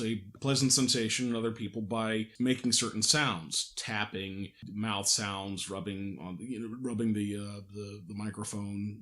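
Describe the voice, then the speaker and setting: A man's voice: fairly low pitch, a bit bright. A man hosting a casual behind-the-scenes podcast, joking and self-deprecating, with hesitant false starts. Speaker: podcast host and audio drama creator.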